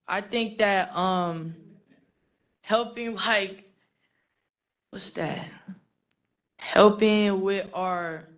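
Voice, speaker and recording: A young woman speaks informally about her own experience. Her delivery is casual and halting, peppered with "like" as she searches for words. She sounds like a guest giving personal testimony. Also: medium pitch. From born in the Philippines, raised in San Francisco from age eight. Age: young adult.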